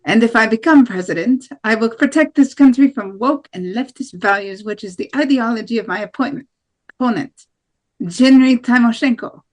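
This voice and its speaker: A woman's voice: a little high, normal speed, natural voice. A woman playing a combative far-right nationalist presidential candidate in an amateur table read of a play. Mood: declarative.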